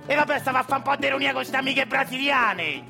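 A man with a Roman accent playing a cheeky, lecherous old grandfather who drawls and shouts bawdy taunts. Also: very high-pitched, lively intonation, quick pace, vocal fry, over music, full character voice.

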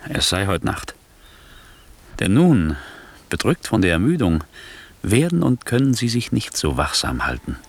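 An actor playing a sly nobleman urging treachery in a classical German radio play, with a husky, creaky voice. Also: on the low side, huge pitch swings, measured pace, long pauses, exaggerated voice. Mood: conspiratorial.

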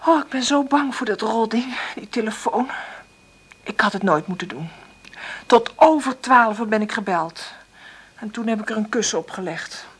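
A woman's voice: a little high, lively intonation, moderate pace, breathy edge. An actress playing an anxious single mother in a naturalistic radio play, speaking in a hesitant, faltering way.

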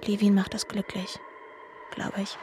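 A woman acts a wistful, tender young woman in a radio play. She speaks in a hushed, breathy, dreamy tone with very little melody. Faint birdsong-like ambience and cooing sit behind her. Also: medium pitch, completely flat delivery, measured pace, slight background noise, close to an everyday voice. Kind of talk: literary radio drama monologue.

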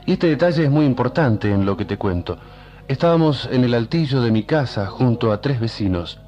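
An actor playing a weary, enigmatic time traveler, telling his story gravely and measuredly in a radio drama. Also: medium pitch, expressive, normal speed, dark tone, lo-fi sound, faint music behind, lightly acted. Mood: haunted, hushed.

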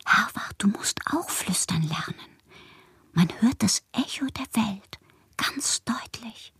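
A woman's voice: a little high, expressive, slow, a bit bright, no echo, heavily acted. An actress playing a whimsical, lovelorn, melancholy woman poet performing her letters in a radio drama, theatrical and given to whispering. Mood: hushed, secretive. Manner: theatrical, swinging between breathy whispers and exclamations.

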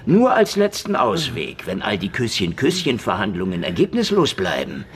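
A man's voice: lowish voice, wildly swooping pitch, moderate pace. An actor in an audio drama playing a sardonic, mockingly polite male interrogator who taunts and threatens. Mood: gloating, sneering. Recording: loud music under the voice.

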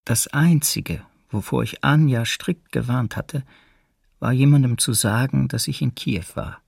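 A troubled man in hiding recalls his life in a radio documentary drama. His mid-pitched voice is husky and full of creak, sober and reflective in a dry studio. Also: a little slow, darkish tone, no room sound. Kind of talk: documentary radio play, memoir reading.

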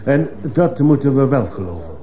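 An actor playing a stern, sceptical judge questioning a witness with authority in a radio drama. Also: male voice, mid-range voice, sing-song, moderate pace, a crowd in the background, natural voice. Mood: probing, sceptical. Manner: authoritative, probing. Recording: muffled, slightly noisy old radio recording.

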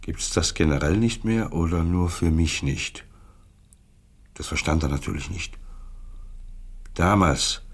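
Low, husky, despairing ex-secret police officer. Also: male voice, heavily acted. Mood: troubled, recounting. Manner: halting, broken-off sentences. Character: despairing former East German Stasi officer, seeking absolution. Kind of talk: German radio play acting.

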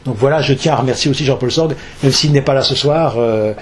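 A man's voice: mid-range voice, fast talker, slight background noise. A man, from Alsace, speaking as an author at a public talk, hesitant, with many fillers and restarts. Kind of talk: lecture with audience questions.

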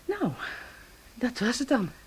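A woman playing a brisk, matter-of-fact police investigator with a dryly teasing manner in a naturalistic radio drama. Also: on the high side, sing-song, husky, exaggerated voice. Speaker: actor in a radio play.

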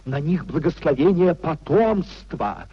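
An actor playing an anguished, idealistic young husband in a 1950s Russian radio play, pleading and declaiming theatrically. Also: male voice, fairly high pitch, lively intonation, a little slow, slightly rough, muffled recording. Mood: impassioned oratory. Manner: theatrical, declamatory, repeating phrases emotionally.

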